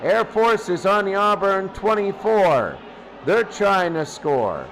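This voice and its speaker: High-pitched man calling football play-by-play. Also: sing-song, takes it slowly, a bit bright, rough recording. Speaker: play-by-play commentator for a video game football stream. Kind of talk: sports play-by-play commentary.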